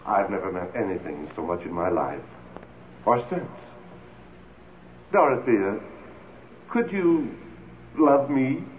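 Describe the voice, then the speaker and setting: A lovesick, coaxing ghost of a cheating husband in an old radio play. The voice is deep and unhurried, pleading theatrically. It sounds boxed-in and tinny, like an old broadcast. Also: male voice, expressive, slight background noise. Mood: amorous, coaxing. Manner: pleading, smooth-talking, theatrical. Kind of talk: old radio drama acting.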